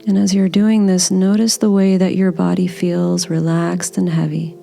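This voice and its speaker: A woman leading a guided body scan meditation in a gentle, soothing, unhurried manner. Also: fairly low pitch, level tone, creaky, soft background music.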